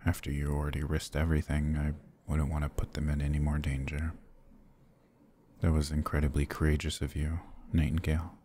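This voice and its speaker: A man playing a courteous, protective, brooding vampire lord in an intimate ASMR roleplay, speaking gently and formally with a husky, halting delivery. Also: a bass voice, monotone, slight background noise. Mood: admiring, warm.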